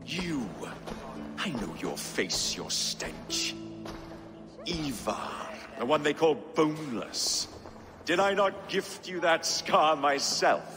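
A man's voice: fairly high pitch, very animated, slow, in a large hall, over music. An actor playing a proud, stern medieval king who speaks with haughty scorn. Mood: scornful. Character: proud stern male Welsh king, middle-aged.

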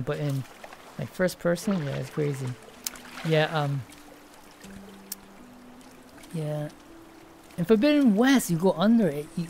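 Gravelly-voiced woman rambling through a stream. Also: on the low side, mellow, a little hiss or hum. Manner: rambling, hesitant, lots of restarts. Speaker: game streamer. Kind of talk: casual live-stream commentary. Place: room at home, seated in a VR headset.

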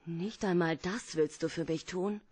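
Radio-drama femme fatale: lowish pitch, desperate, reproachful, emotional, recorded dry. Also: female voice, measured pace, darkish tone, breathy edge, dry recording. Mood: pleading. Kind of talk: radio play acting.

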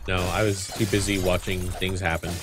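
A man joking around with friends during a game, quick-witted. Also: low-pitched, flat delivery, over music. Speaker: game player. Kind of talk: casual gaming chat.

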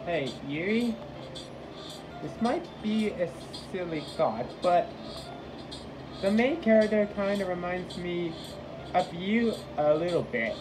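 A woman reading a game character's lines aloud in a casual, halting way. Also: on the low side, lively intonation, low-quality recording. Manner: slow, halting delivery. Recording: home recording with music behind.

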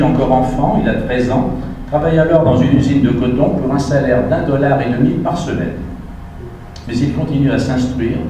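Man, history lecture, reads from notes, big echoey hall, mic far away, background noise. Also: medium pitch. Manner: reads prepared text, adds asides to the audience.

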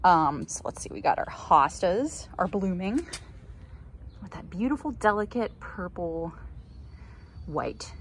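Low-voiced chatty woman outdoors. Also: very animated, slow, pauses often. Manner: chatty, cheerful asides. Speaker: gardening vlogger. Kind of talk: casual garden tour narration. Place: outdoors in a suburban garden. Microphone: handheld phone. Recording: outdoor ambient noise.

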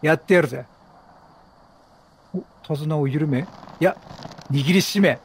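A man's voice: on the high side, measured pace, slight background noise. A man doing casual let's play commentary, playful and reactive, bantering with the characters in slang. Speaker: gaming streamer. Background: game music.

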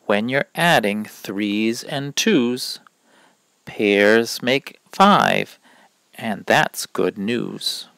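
A man reading a rhyming children's picture book aloud in a deliberate, instructive manner. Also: lowish voice, animated, vocal fry, fairly bright tone, no room sound. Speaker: read-aloud reader.

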